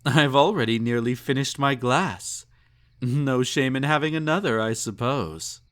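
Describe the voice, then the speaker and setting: A man's voice: medium pitch, unhurried, fairly bright tone, lightly acted. A man playing a snobbish, possessive emperor in an intimate roleplay audio drama, with pompous, condescending, teasing speech and ornate formal phrasing. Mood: smug, possessive. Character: snobbish, possessive male emperor, indulgent and slightly tipsy.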